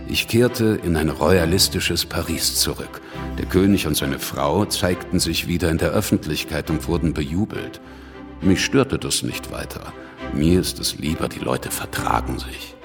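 An actor playing a shrewd, cynical revolutionary politician who speaks dismissively in a radio play monologue. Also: male voice, rumbling low, exaggerated voice. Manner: sardonic, dismissive. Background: music.

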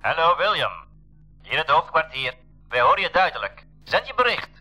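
Casting: headquarters radio operator in a radio play, high, piercingly bright voice, formal and brisk. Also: male voice, big pitch swings, faint music behind, full character voice, low-quality recording. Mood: formal, obliging. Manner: formal radio procedure speech. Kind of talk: 1980s school radio drama acting.

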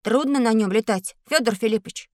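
A woman voicing an earnest, curious young schoolboy in an educational audio drama, speaking in a thoughtful, clear way. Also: on the high side, brisk, darkish tone, dry recording, clean studio sound, natural voice. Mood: eager, curious.

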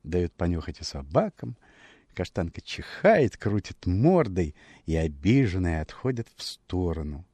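A man narrating a classic short story for radio in a measured, expressive literary manner with dramatic pauses. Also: low voice, slightly rough, dry recording.

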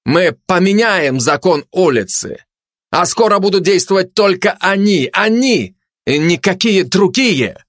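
A menacing, arrogant street dog acted by a male storyteller, sneering and pompous. The delivery is drawn out and theatrical, with lively pitch movement in a dry animal-story recording. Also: no room sound. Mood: pompous proclaiming. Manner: haughty, sneering, drawn-out threats and pompous declarations. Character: haughty menacing fascist dog, mocking gang tough.

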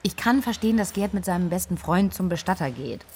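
Judgy woman, husky lowish voice, scornful, radio play interview bit. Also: expressive, a little fast, a little mellow. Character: scornful, embarrassed woman judging the bereaved men. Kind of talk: interview-like radio drama narration.

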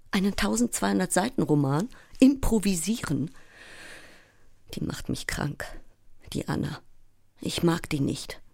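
An overwrought, sleepless actress in a theatrical audio drama monologue. The female voice is low and measured in pace, intense and broken into fragments, often dropping toward a breathy whisper. It is recorded close and dry. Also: darkish tone, no room sound. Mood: desperate, then scornful, agitated.

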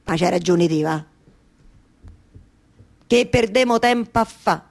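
An actress playing a weary, talkative weaver wife in a theatrical monologue, speaking colloquially with a Roman accent and repeating phrases haltingly. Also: female voice, medium pitch, creaky, a little mellow. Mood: restless. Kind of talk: theatrical monologue for radio theatre with live music.

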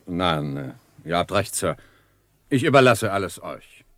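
An actor playing a self-important army commander in a radio drama, with a stiff, pompous way of talking. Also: male voice, deep voice, sing-song, a little slow, fairly bright tone, no echo, heavily acted. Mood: indignant, then deflated. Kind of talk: German radio drama acting.

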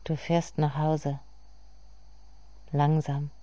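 An actress playing a somber narrator in a literary radio drama, speaking in measured, deliberate phrases. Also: female voice, deep voice, flat delivery, noisy background.

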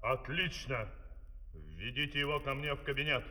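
Pompous, bright-voiced scheming dictator. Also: male voice, mid-range voice, very animated, moderate pace. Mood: brisk, commanding. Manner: pompous, theatrical, declamatory. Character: pompous, scheming male dictator of a fairy-tale country. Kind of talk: comic fairy-tale opera, theatrical spoken dialogue. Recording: old vinyl record, long hall reverb, music.

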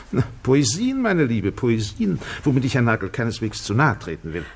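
A man's voice: medium pitch, huge pitch swings, normal speed. A man acting in a German radio drama as a sceptical, jovial doctor with a bantering, slightly condescending manner. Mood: jovial, teasing. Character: sceptical, jovial, fussing male doctor and husband.

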